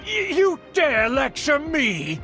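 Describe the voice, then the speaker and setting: A haughty older nobleman from a video game, with a high-set male voice. He scolds formally and pompously, full of indignation, over faint music. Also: huge pitch swings, takes it slowly, pushed and bright, full character voice. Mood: outraged. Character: haughty, indignant older aristocratic uncle of a disgraced clan.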